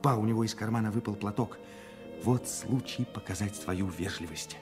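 An actor playing an impetuous, self-mocking young provincial swordsman in a radio play, excitable and theatrical. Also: fairly low pitch, normal speed, over music, close to an everyday voice. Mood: rueful, musing. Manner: excitable, theatrical, muttering to himself.

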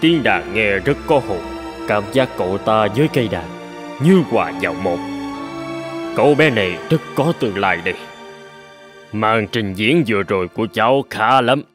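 A man voicing a kindly, portly older gentleman in a cartoon, speaking in a gentle, measured, encouraging way. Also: on the low side, expressive, over music, lightly acted. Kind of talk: cartoon voice acting.

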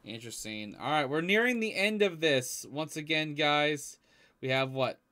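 A man's voice: fairly high pitch, expressive, normal speed, pushed and bright. A young adult man giving casual, informal reaction commentary as host of a video, recorded in a room at home. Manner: informal, mild swearing. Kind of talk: casual live reaction commentary, some reading aloud. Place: small indoor room at home.

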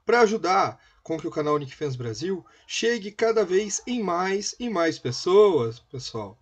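Husky, lively Brazilian host. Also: male voice, sits high, lo-fi sound. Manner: rapid, practiced call to subscribe. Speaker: channel host. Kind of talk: scripted channel outro. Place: small indoor home studio. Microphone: headset.

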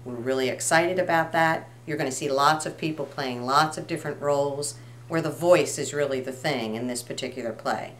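A woman's voice: deep voice, fairly quick. A middle-aged woman giving a promotional talk to camera in an indoor room. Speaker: community theater representative. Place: indoor room, camera a few feet away. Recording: noticeable hum and noise.

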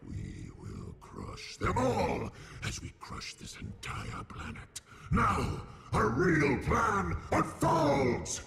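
A man playing a boastful, scheming evil alien robot in a video game, with a gravelly, theatrical, gloating delivery. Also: high voice, wildly swooping pitch, drawn-out words, noisy background, full character voice. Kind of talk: video game villain acting. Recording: loud music behind.

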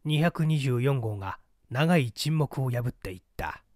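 A man's voice: mid-range voice, lively intonation, unhurried, darkish tone, no echo, studio quality. A man reading a mystery story aloud as dramatic scripted narration. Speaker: audiobook reader.

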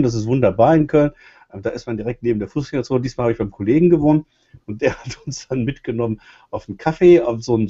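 Man, co-host, casual commentary, husky voice, dull lo-fi sound. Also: mid-range voice, dark tone. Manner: loose, chatty, with fillers and restarts.

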